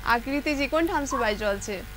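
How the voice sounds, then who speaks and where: Woman tv phone-in host, high voice, bit stuffed up from a cold, noisy background. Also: lively intonation, moderate pace, a bit bright. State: congested from a cold. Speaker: TV call-in show host. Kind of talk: live phone-in chat with callers.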